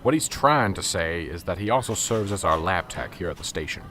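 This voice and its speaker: A man playing a gruff, impatient police sergeant. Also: deep voice, lively intonation, fairly quick, fairly bright tone. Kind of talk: amateur radio drama acting.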